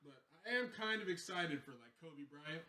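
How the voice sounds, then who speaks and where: A man's voice: a little high, animated, brisk, pushed and bright. Roomy, distant male sports co-host. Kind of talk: casual sports chat. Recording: roomy, off-mic, faint background noise.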